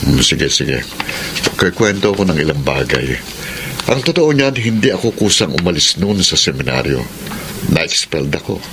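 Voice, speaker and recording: An actor with a Filipino accent playing a flirtatious, brazenly lustful former seminarian in a radio drama, sly, teasing and suggestive. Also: male voice, extremely low pitch, very animated, moderate pace, vocal fry, noisy background, full character voice. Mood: sly confiding. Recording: music playing behind the voice.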